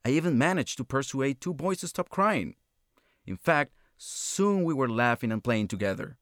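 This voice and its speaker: A man's voice: medium pitch, expressive, normal speed, fairly bright tone, dry recording, close to an everyday voice. Pleasant ordinary man played by a male actor, scripted conversational read, dry sound. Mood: reflective. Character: pleasant man chatting about everyday memories and news. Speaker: actor in listening test. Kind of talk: scripted monologue and dialogue.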